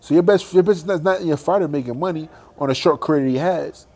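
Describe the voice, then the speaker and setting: A man's voice: on the high side, quick pace, darkish tone. A young adult man, a boxer, talking animatedly and emphatically in a casual interview, laughing often.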